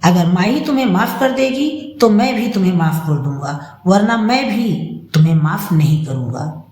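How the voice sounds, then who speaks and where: A woman's voice: on the low side, expressive, moderate pace, a little mellow, lightly acted. A woman storyteller voicing a stern older father with a grave, firm manner in a moral tale. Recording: roomy, echoing room sound.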